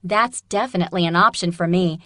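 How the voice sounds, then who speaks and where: A woman playing a practical young student in a scripted, measured and clearly enunciated listening-test dialogue. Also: fairly low pitch, sharp tone, no echo, studio quality. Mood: matter-of-fact. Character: practical female student weighing art courses.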